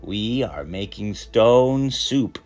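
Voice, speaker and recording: Man reading as a calm, slow-speaking wise monk. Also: on the low side, soft background music, heavily acted. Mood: calm, assured. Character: gentle, wise traveling monk named Sue.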